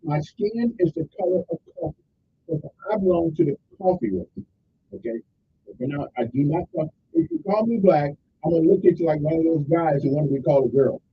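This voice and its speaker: A man's voice: fairly high pitch, expressive, a little fast, breathy edge, dull audio. A man hosting a radio talk show, chatting in a folksy, rambling way with lots of asides. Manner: folksy, chatty, rambling asides with repeated "okay" and "I'm just saying". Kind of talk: casual radio talk, listing the day's observances.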